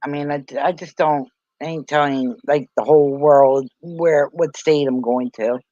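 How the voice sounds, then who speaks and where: A woman's voice: deep voice, fairly even intonation, a little slow, warm tone, no echo, dull audio. A woman hosting a casual livestream chat, agreeable and laughing between her remarks. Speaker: livestream host.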